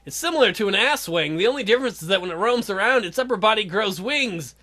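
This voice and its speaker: A man's voice: sits high, quick pace. A man hosting a let's play, reading a dating sim's dialogue aloud and cracking crude jokes between the lines. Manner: animated, jokey, reads character lines in shifting voices. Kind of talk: reading a dating sim aloud with joking commentary.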